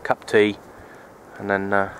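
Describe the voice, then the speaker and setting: A man, rambling casually in a low-key, resigned way as he narrates a vlog on a handheld camera. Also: completely flat delivery, takes it slowly, fairly bright tone. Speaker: outdoor radio hobbyist vlogger. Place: outdoors on an open wooded hilltop. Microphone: handheld camera's built-in mic, held by speaker. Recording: outdoor handheld camera, wind and ambient noise.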